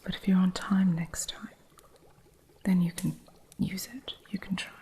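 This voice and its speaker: A woman speaks in a hushed, airy whisper, unhurried and deliberate. Her voice sits low with a faint gravelly texture. She guides an apprentice with gentle, teasing strictness, like a witch minding her shop. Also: flat delivery. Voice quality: soft unvoiced whisper. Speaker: ASMR artist. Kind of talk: whispered ASMR roleplay as a witch shopkeeper.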